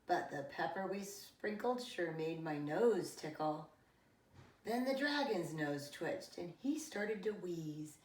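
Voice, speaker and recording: A middle-aged woman reading a children's picture book aloud in an expressive, deliberate way with dramatic emphasis, like a preschool teacher. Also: lowish voice, recorded from a distance. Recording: roomy echo, away from the mic.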